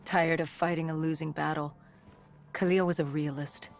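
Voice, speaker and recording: A woman playing an intense, haunted soldier in a video game, speaking in a grave, hushed and halting way. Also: low-pitched, little pitch movement, gravelly, soft background music. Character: haunted, intense female soldier recounting the apocalypse. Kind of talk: dramatic video game voice acting.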